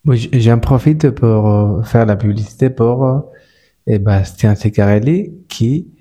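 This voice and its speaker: A man with a Spanish-speaking accent talks as a guest on a casual podcast, stumbling through repeated words and restarts. His voice sits low and sounds very dark and mellow. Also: a little slow. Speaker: spa manager, guest.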